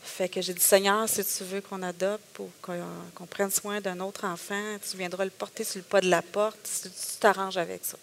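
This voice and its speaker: A middle-aged woman with a Québécois accent, speaking in a gravelly, mid-pitched voice. She recounts her story at length, with frequent hesitations and quoted asides. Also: flat delivery, normal speed, hardly any pauses, slight background noise. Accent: Québécois French. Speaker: guest. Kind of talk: personal testimony in a conversation.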